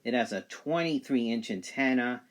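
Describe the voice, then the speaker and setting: A man giving an unscripted product review, speaking haltingly in short phrases with pauses between them. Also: mid-range voice. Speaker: gadget reviewer. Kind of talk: unscripted product review, describing features on camera.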